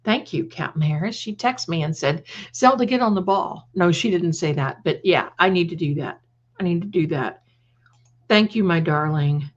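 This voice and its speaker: A woman's voice: lowish voice, animated, moderate pace, highs cut off. A woman hosting a casual live chat, rambling and repeating phrases, with affectionate endearments for her viewers. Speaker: livestream host and creator.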